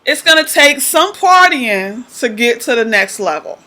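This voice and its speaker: Bright-voiced woman hosting radio. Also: fairly high pitch, big pitch swings, a little slow. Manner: blunt, streetwise, encouraging pep talk. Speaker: radio show host. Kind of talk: casual radio interview, motivational advice.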